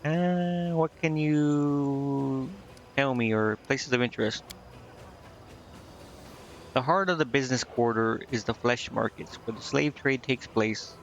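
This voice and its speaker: A man's voice: mid-range voice, slow pace, gravelly, lo-fi sound, slight background noise. A man streaming a game, reading its dialogue aloud with casual commentary and stumbling now and then before correcting himself. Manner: stumbles over read text and corrects himself. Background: game music.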